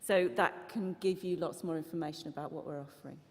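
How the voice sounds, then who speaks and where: A woman's voice: deep voice, fairly even intonation, a little fast, a little mellow. Woman speaking earnestly in a reverberant hall. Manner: earnest, with frequent ums and restarts. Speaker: festival organiser. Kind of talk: open mic conference talk. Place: church hall conference. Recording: long reverb of a large hall.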